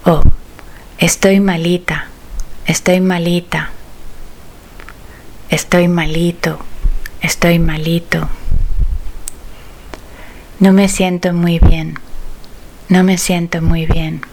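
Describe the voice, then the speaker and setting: A woman teaching phrases, saying each one twice slowly and carefully as a language drill. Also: lowish voice, flat delivery, darkish tone, a little hiss or hum. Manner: slow, careful, clearly enunciated repetition.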